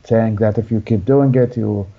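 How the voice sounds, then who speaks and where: A young man from Iraq, an interview guest telling his life story in a halting way with many restarts. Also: fairly low pitch, steady tone, creaky, breathy edge, phone-quality sound.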